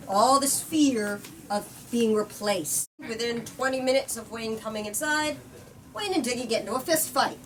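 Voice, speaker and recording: A woman's voice: on the high side, moderate pace. A woman reading a story aloud as host, dramatic and informal in her delivery. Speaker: host reading book excerpts. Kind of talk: expressive book reading with casual asides. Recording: distant mic, faint background noise.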